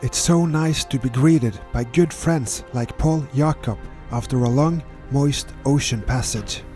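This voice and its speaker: A man calmly narrating his own sailing voyage in a measured voice-over, with slightly non-native phrasing. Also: medium pitch. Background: music.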